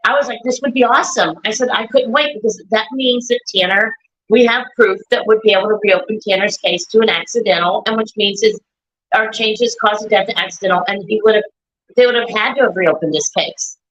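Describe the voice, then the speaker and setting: Loud, bright-voiced talkative woman. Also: medium pitch, brisk, dull audio. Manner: loud, talkative, repeats herself. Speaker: guest, bereaved mother. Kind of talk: live stream conversation. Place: echoey room at home.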